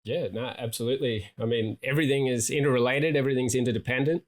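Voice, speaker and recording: A man's voice: medium pitch, steady tone, quick pace. A man speaking as an investment analyst on a panel, with a mid-range voice for a man. He thinks aloud, circling through fillers and restarts as he works toward his point. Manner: thoughtful, filler-heavy, with "you know" and "sort of". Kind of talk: podcast discussion.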